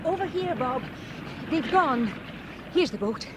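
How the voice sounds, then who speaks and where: An actress playing a worried, cautious young woman who speaks softly in an old radio drama. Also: sits high, expressive, measured pace, heavy background noise. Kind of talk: 1960s radio drama acting.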